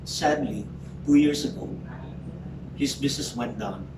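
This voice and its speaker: A man's voice: medium pitch, measured pace. A man in his forties giving a prepared speech to a live audience, emphatic and rhetorical, talking straight to the crowd. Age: 40s. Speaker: public speaker. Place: meeting room with audience. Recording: roomy hall, mic at a distance, noisy.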